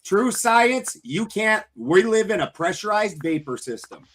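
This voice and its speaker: Husky, combative man on a panel. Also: high-pitched, sing-song, unhurried, fairly bright tone. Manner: combative, profane, brags about expertise. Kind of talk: heated online debate.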